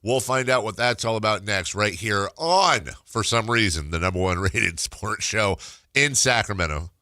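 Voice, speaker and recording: Man, nasal, deep voice, lively swings, bright edge, dry studio mic, chatty sports radio host. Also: moderate pace, dry recording. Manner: joking, self-mocking, laughs often. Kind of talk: casual morning radio banter. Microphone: studio microphone.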